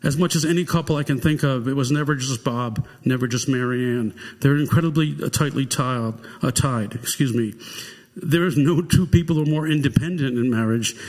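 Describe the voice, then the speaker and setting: A man's voice: mid-range voice, fairly quick, darkish tone. An older man giving an earnest personal memorial tribute at a lectern microphone, repeating phrases for emphasis. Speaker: eulogy speaker. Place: church sanctuary, indoors. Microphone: gooseneck lectern microphone. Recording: reverberant hall.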